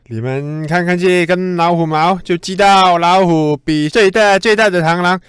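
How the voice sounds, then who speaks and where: A man's voice: high-pitched, noisy background, close to an everyday voice. A man playing a boastful, self-important little ant in a children's radio drama, declaiming pompously. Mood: bluffing. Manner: pompous, slow, declaiming announcements.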